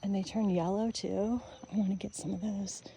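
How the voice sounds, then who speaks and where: An older woman chatting casually on a morning walk through her neighborhood, gushing and often soft and whispery. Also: mid-range voice, fairly even intonation, moderate pace, slight background noise, poor audio. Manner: chatty, gushing, softly spoken with breathy whispery stretches. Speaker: vlogger. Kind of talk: casual walking vlog. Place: outdoors on a neighborhood street.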